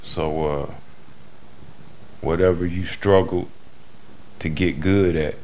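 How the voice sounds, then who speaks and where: Detroit american guy, low voice, blunt slangy interview talk, bit of background noise. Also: fairly even intonation, slow pace, darkish tone. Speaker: music producer interviewee. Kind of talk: casual, unscripted interview.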